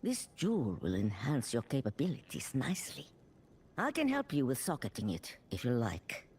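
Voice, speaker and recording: A woman's voice: very deep voice, wildly swooping pitch, moderate pace, a little mellow, breathy edge, full character voice. A woman voicing an obliging gem merchant in a video game, musing and appraising. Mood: curious, appraising.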